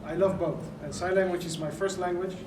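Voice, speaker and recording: A man's voice: sits high, fairly even intonation, fairly quick. A man from the Netherlands, speaking earnestly at a press conference as an event organizer and explaining things step by step. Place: large indoor venue. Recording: echoey room, mic far off, noisy.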